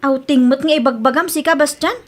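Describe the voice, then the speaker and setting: An actress with an Ilocano accent playing an evasive, carefree young wife in a radio drama. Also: female voice, high-pitched, fairly quick, natural voice. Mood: defensive.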